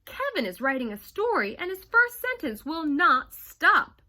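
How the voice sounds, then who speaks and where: A woman voicing a speedy, eager young girl in a storybook read-aloud, talking in an excited, loud way. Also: sits high, a little slow, pitched above the performer's own voice, full character voice.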